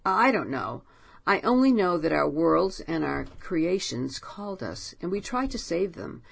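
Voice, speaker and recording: A woman's voice: lowish voice, big pitch swings, measured pace, gravelly, husky, exaggerated voice. A woman voicing a grim, brooding male author. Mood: impassioned. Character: grim, brooding male writer, driven and bitter.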